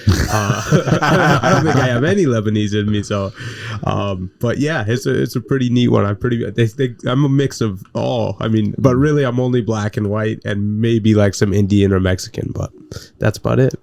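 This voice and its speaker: A man co-hosting a casual podcast, talking in a relaxed, rambling way with restarts. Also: fairly low pitch, normal speed, vocal fry, dry recording. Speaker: podcast co-host.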